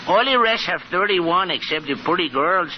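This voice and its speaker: A man's voice: high voice, expressive, normal speed, dull audio. An actor playing a cheerful, dim-witted Mexican man with a thick comic accent and broken English in a 1940s radio comedy. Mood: earnest, silly. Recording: noisy, band-limited 1940s radio broadcast.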